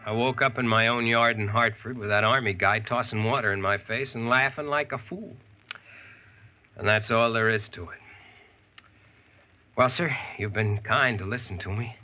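A man's voice: lowish voice, bright, forceful tone. An actor playing a plain-spoken young Yankee man telling his tale in a 1940s radio drama. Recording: muffled old radio recording.

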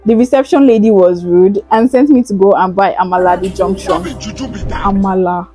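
A woman's voice: mid-range voice, fairly even intonation, normal speed, dark tone, faint music behind. A young woman, hosting a chatty gossip vlog in a playful, teasing way on a lapel mic in an indoor studio. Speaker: host. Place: indoor studio, plain backdrop.

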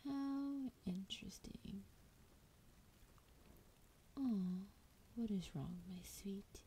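A woman voicing a sultry, menacing female dragon in a breathy, whispered fantasy roleplay, teasing and drawing out her words. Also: medium pitch, warm tone, a little hiss or hum. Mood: flirtatious, playful. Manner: slow, teasing, drawn-out delivery.

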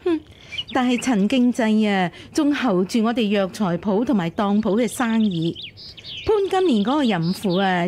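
A woman's voice: medium pitch, dark tone, natural voice. A woman acting in a radio drama as a scheming, spiteful concubine, insinuating and scornful. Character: scheming spiteful concubine urging a harsh plot. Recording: clear background noise with birdsong.

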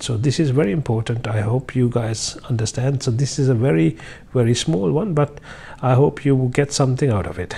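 A man's voice: medium pitch, creaky, mellow, slightly rough. A man in his thirties from Sri Lanka, teaching English basics to camera in a casual, encouraging way with many fillers, recorded on a lapel mic in an office. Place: high-rise office by a window, indoors.

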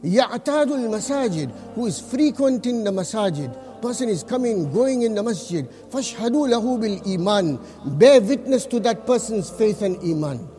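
A man giving a Friday sermon as imam, preaching earnestly with rapid recited quotations and repeated phrases for emphasis. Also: sits high, animated, mellow, faint music behind.

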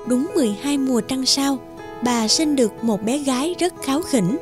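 A woman narrates a fairy tale in a scripted storytelling style. Her voice sits on the high side, with children's music clearly playing behind it. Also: moderate pace.

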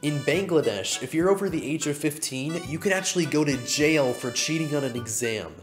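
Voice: mid-range voice, lively intonation, fairly quick, music in the background. A presenter reading a light, wry fun facts segment as scripted voice-over.

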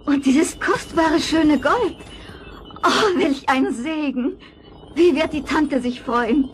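An actress playing a meek, grateful young girl in a fairy-tale radio play, polite and gentle. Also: high voice, slow, slight background noise. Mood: overjoyed wonder. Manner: polite, gentle, wide-eyed.

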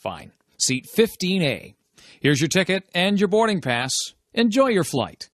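A man's voice: sits high, big pitch swings, a little slow, dry recording, studio quality, exaggerated voice. A man playing polite airport parts in a scripted lesson dialogue. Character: polite clerk or passenger at an airport.

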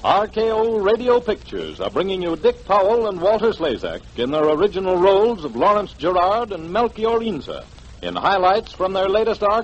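A man's voice: sits high, breathy edge. A man announcing a promotion in 1940s radio style. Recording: muffled, hazy old radio broadcast.

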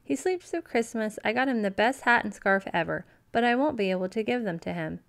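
A woman voicing an excitable, tender-hearted young girl bunny in a storybook, with an expressive, earnest delivery. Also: medium pitch, fairly quick, gravelly. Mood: wistful, determined.

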